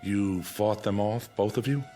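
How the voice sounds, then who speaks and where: Man acting an earnest low-voiced major. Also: a little slow, warm tone, faint music behind, close to an everyday voice. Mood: earnest, curious. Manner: hesitant, respectful. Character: earnest, deferential air force major. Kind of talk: dramatic radio drama acting.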